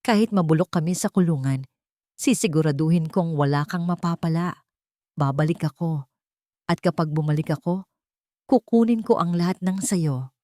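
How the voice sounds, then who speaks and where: A woman's voice: low voice, moderate pace, pauses often, no echo, studio quality, close to an everyday voice. A woman voicing a deceitful, intense daughter-in-law in a dramatic audio story. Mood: venomous threat. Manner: wailing pleas, sharp threats. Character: pleading, tearful, deceitful daughter-in-law turned venomous.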